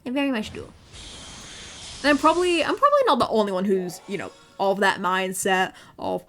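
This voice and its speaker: A young woman with an English accent, chatting casually on a livestream, often repeating words and filling pauses. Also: mid-range voice, expressive, fairly bright tone, soft background music. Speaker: VTuber streamer.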